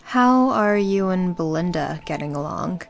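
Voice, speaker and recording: A woman's voice: on the low side, lively intonation, a little slow, gravelly. A woman playing a studious, serious young necromancer in a visual novel, speaking in a matter-of-fact, explanatory way. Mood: casual, reserved. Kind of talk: scripted visual novel acting.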